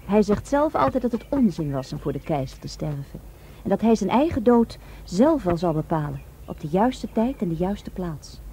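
A woman playing a frank, anxious female companion in a radio drama, with an expressive delivery. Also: mid-range voice, moderate pace. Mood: quiet, matter-of-fact. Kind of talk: Dutch radio drama dialogue. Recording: radio drama with boat noise.

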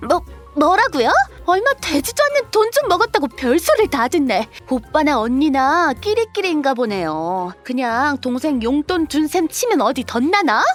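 A woman's voice: high-pitched, sing-song, moderate pace, few pauses, faint music behind. A woman playing a brazen, money-grubbing younger sister-in-law, whiny and defiant, in a scripted audio drama. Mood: defensive, scornful.

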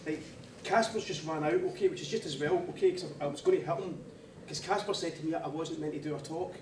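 A man's voice: fairly high pitch, fast talker, recorded from a distance. A middle-aged man with a stammer, giving an informal off-the-cuff talk with lots of conversational checking tags. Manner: conversational, frequent "right?" and "okay" tags. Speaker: panel speaker, academic. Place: small seminar room, indoors. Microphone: standing away from the mic, camera pickup. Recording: echoey room, off-mic, faint noise.

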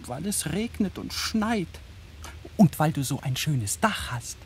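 Slow, swooping tiny king. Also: low voice, lively intonation, darkish tone, slightly rough, full character voice. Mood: friendly. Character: tiny kindly February day-king, youngest of twenty-eight brothers. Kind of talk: German radio play acting.

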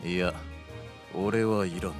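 男性声優が、尊大でそっけない古風な口調の孤高の武将をゲームのラジオドラマで演じている。. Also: male voice, deep voice, steady tone, slow pace, soft background music. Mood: aloof. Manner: haughty, curt, archaic phrasing. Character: proud, gruff, aloof dark warlord. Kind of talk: game radio drama acting.

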